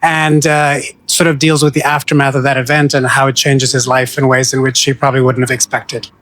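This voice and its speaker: A man from Pakistan who grew up in Africa, an actor and filmmaker answering interview questions outdoors at a festival in a thoughtful, measured way. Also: mid-range voice, flat delivery, a bit bright, no echo. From Pakistan, raised in Southern and Eastern Africa.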